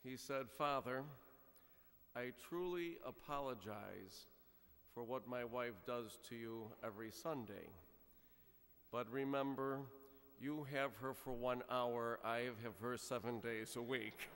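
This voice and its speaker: A middle-aged male priest gives a relaxed, story-driven sermon into a pulpit microphone. His mid-range voice rings out into a large church with a long, lingering reverb. He talks conversationally, with plenty of small pauses and fillers. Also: mid-range voice. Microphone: gooseneck pulpit microphone. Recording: church reverb from a fixed camera.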